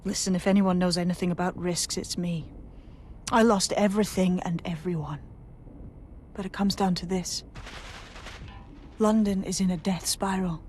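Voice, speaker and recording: A woman's voice: on the low side, steady tone, normal speed, pauses often, a little mellow, a little hiss or hum, natural voice. A woman playing a determined, weary resistance leader in a video game, speaking earnestly and persuasively. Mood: resolute, urgent.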